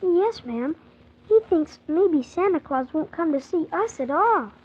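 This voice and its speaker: A woman's voice: very high-pitched, lively intonation, unhurried, a little mellow. A woman playing an earnest, polite young orphan boy who tells his story wide-eyed in a 1940s children's radio drama. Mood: earnest, hopeful. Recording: thin, phone-like 1940s radio recording.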